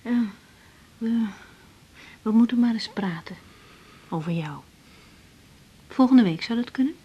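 An actress playing a gentle, concerned young doctor in a radio drama, earnest, soothing and a little hesitant. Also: female voice, a little high, lively intonation, measured pace, slightly rough, dull audio. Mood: sincere. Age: young adult.

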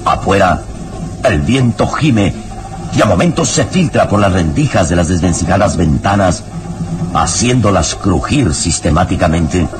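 A man's voice: low-pitched, expressive, a little slow, background noise. A man narrating a horror adventure radio serial in a grave, theatrical, ominous style. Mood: ominous, suspenseful. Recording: radio drama with background music. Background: dramatic music.

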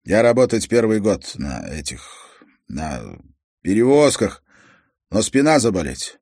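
A man's voice: fairly low pitch, sing-song, unhurried, a little mellow, husky, dry recording, clean studio sound, exaggerated voice. A man voicing a weary immigrant father with a Croatian accent who speaks in broken, insistent sentences. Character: immigrant father, former engineer, blunt and weary.

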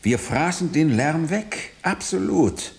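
An actor in a radio drama playing a hunted, engineered man who eats noise, speaking urgently and haltingly, often breaking off. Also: a little high, animated, creaky, a little mellow, heavily acted. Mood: agitated, desperate. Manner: halting, insistent, breaking off sentences. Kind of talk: German radio drama acting.

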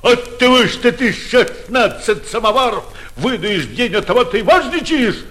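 An actor in a 1940s radio play, playing a gloating, vindictive town mayor with pompous, sarcastic, theatrical delivery. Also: male voice, extremely high pitch, animated, moderate pace, a bit bright. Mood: furious, scornful.

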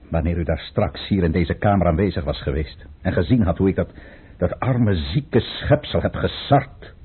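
An actor playing a scheming, controlled count and royal adviser with a measured, formal manner in a radio play. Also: male voice, on the low side, slightly rough, full character voice. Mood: anguished. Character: scheming, controlled male count advising the tsar, secretly tormented. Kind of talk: Dutch radio play acting. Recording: tinny, muffled old radio play recording.

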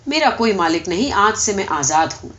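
An earnest storybook donkey, acted out by a woman, humble and pleading yet stubbornly argumentative. The medium-pitched voice moves animatedly, and the recording is a little muffled. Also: lively intonation, fairly bright tone, natural voice. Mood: defiant. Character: earnest talking male donkey, sorrowful, stubbornly persistent.

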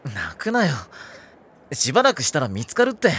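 Lively anime schoolboy, casual. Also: male voice, a little high, moderate pace, slight background noise. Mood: concerned. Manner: casual, blunt but kind speech. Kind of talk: Japanese anime audio drama acting. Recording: music bed under the voice. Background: music.

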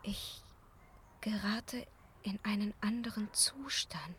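Spellbound young soprano, intimate and breathy, mid-range voice, fragile and yearning, German radio play. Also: female voice, medium pitch, takes it slowly, a little hiss or hum. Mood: fearful, spellbound. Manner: breathy, intimate, slipping into hushed whispers. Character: young opera singer, impressionable, enraptured, torn and fearful. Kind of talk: German radio drama acting.

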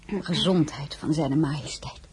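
An actress playing an earnest, anxious younger Frenchwoman, the plain-spoken heroine of an audio drama, in a measured manner. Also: deep voice, background noise, close to an everyday voice. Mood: anguished, haunted. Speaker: actress and narrator. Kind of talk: acted audio drama with first-person narration.

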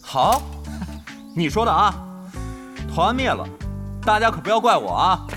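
A high-pitched, haughty young gamer. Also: male voice, big pitch swings, measured pace, over music. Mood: mocking. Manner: mocking, haughty, challenging. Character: proud, sarcastic young chief tank player, quick to bristle. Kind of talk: Chinese audio drama acting.

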